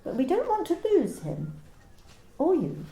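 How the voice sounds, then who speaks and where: A woman's voice: very high-pitched, huge pitch swings, a little slow, dark tone, echoey room, a little hiss or hum, full character voice. A woman playing a cold, clipped company manager. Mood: stern. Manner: clipped, coolly formal, pressing. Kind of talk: amateur radio play acting. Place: home during lockdown.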